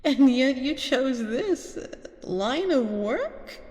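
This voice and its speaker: A woman playing an arrogant, seductive vampire queen in an ASMR roleplay, teasing and commanding, with theatrical, drawn-out delivery. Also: high-pitched, sing-song, darkish tone, heavily acted. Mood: teasing, mocking. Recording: long reverberant hall sound.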